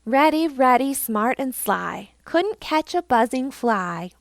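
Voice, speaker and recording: Woman as a cheeky, high-voiced taunting rabbit. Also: steady tone, measured pace, darkish tone, dry recording.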